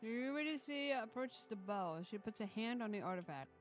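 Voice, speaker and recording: A woman's voice: fairly high pitch, lively intonation, moderate pace, faint music behind. A woman reading a visual novel's first-person narration aloud on a stream, with casual asides.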